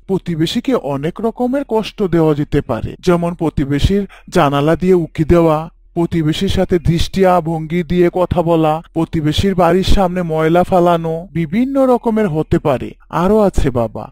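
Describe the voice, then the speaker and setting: A man voicing a pious father, mellow and high. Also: no room sound, close to an everyday voice. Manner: calm, moralizing lectures.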